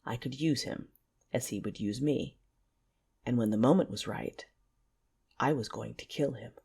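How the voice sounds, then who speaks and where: A woman playing a proud, vengeful warrior, telling the story in intense, measured first-person narration for an audio drama. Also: a bass voice, vocal fry, warm tone, breathy edge, heavily acted. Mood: bitter, resolved. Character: proud, vengeful wounded warrior turning to the dark side.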